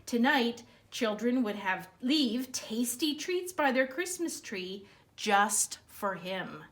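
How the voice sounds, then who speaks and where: Animated, high-pitched woman reading a storybook. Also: slow. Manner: expressive, dramatic emphasis, addresses young listeners with questions. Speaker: librarian reading at children's storytime. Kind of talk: animated read-aloud of a children's picture book.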